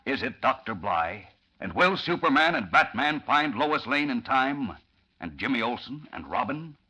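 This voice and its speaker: A man's voice: medium pitch, big pitch swings, normal speed, sharp tone, slightly rough, dull audio. A man narrating a vintage radio drama in an emphatic, suspenseful scripted style.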